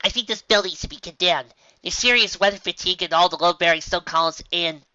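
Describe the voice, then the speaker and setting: A woman's voice: fairly low pitch, fast talker, sharp tone, no echo, pitched above the performer's own voice. A woman voicing a refined, fussy female unicorn with a posh, theatrical manner. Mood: flustered. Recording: dull lo-fi recording.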